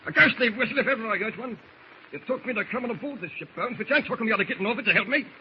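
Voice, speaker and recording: An actor playing a frightened, defiant sailor, protesting agitatedly in old radio drama style. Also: male voice, high-pitched, animated, very fast. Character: frightened, defiant English sailor spooked by ghosts. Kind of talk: 1940s radio drama acting. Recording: dull, noisy old radio recording.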